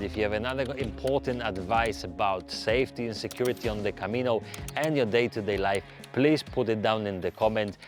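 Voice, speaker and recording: Man presenting travel advice over music. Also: on the low side. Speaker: host. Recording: music behind the voice. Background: background music.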